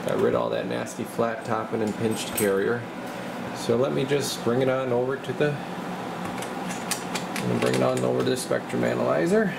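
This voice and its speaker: Male technician, casual and halting, creaky voice, explaining bench work over noticeable background hum. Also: medium pitch. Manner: informal, halting, thinking aloud while working. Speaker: radio repair technician presenting his work. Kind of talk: casual hands-on repair walkthrough. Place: indoor electronics workbench.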